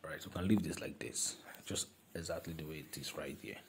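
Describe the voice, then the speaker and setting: A man's voice: low voice, steady tone, fast talker, a little hiss or hum. A man talking viewers through a phone design app step by step, repeating himself and calling things "this guy". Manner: instructive, repeats steps, calls objects "this guy". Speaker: design tutor. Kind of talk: screen-recorded software tutorial.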